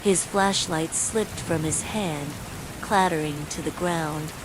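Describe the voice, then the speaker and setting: Woman storyteller, unhurried pace, suspenseful scripted horror narration, sleep story, steady rain noise. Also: low voice. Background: falling rain.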